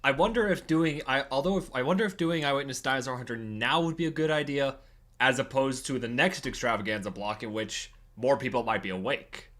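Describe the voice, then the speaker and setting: A man's voice: mid-range voice, brisk, a bit bright. A man chatting casually with fellow co-hosts of a livestream on a group video call. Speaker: livestream co-host.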